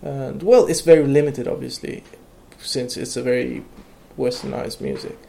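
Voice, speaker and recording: A young man who grew up in Iran talks in a halting, unhurried way, with plenty of fillers and restarts. His voice has a gravelly, fry-laden edge at mid pitch. A faint hiss sits under the recording. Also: fairly even intonation, warm tone. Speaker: guest, electronic music producer. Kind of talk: casual interview conversation.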